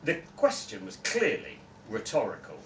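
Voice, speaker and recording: A man's voice: fairly high pitch, big pitch swings, moderate pace. Young man reading a story, echoey room. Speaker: story reader. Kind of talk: expressive live short-story reading. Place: small indoor festival room with audience. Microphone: camera a few metres away. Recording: distant, echoey room with background noise.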